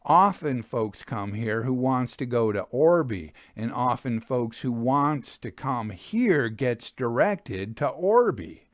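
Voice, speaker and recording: A man's voice: medium pitch, expressive, takes it slowly, few pauses, dry recording. Plain-spoken country roadside worker played by a man, earnest and folksy, storybook style, dry sound. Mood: earnest, helpful. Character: plain-spoken rustic English village man working by the roadside. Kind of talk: storybook character voice.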